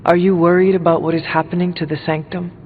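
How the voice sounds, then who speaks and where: Guy doing reserved serious hunter from a game, highish voice, big reverb, bit of music behind. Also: little pitch movement, fast, a little hiss or hum, close to an everyday voice. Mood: guarded, grave. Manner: formal, reserved. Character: solemn, reserved male demon-hunting warrior. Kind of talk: video game character dialogue. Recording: echoing hall, phone-like audio, faint music.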